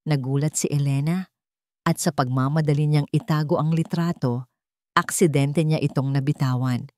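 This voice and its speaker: A woman with a low-pitched voice narrates a scripted dramatic story. The recording is dry and close, with no room sound. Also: steady tone, moderate pace, dark tone, no room sound.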